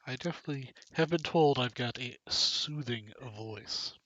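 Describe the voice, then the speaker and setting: A man with a bright, forceful voice hosts a casual live stream, joking with viewers and reading off names as he goes. The sound is dull and lo-fi, with a dry, close feel and no room around it. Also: medium pitch, moderate pace, no echo. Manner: joking, chatty, reads out names and cards. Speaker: live stream card break host.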